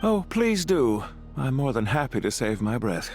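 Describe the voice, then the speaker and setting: A man's voice: medium pitch, very animated, moderate pace, a little mellow, faint music behind. A man voicing a condescending, combative official in a video game, pompous and sardonic in his emphatic delivery. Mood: smug. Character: haughty, condescending male official, combative debater. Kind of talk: video game character acting.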